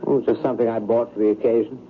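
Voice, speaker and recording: A man playing an erudite, somewhat bumbling professor in a vintage radio play. His phrasing is formal and bookish, his voice middle-pitched. It comes through thin and noisy, like an old broadcast. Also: little pitch movement, very fast, phone-quality sound, close to an everyday voice. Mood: vague, puzzled. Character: erudite, bumbling male professor, reluctant and gentle hunter. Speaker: actor in a radio drama. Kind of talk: old-time radio drama acting.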